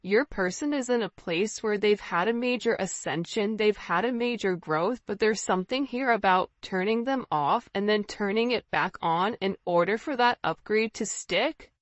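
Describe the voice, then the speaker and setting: Female tarot host, mid-pitched, conversational, addressing the viewer directly; close dry sound. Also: moderate pace, few pauses, no room sound, dull audio. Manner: conversational, often checking in with "right?" and "you know". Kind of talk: informal spiritual tarot reading, speaking directly to the viewer.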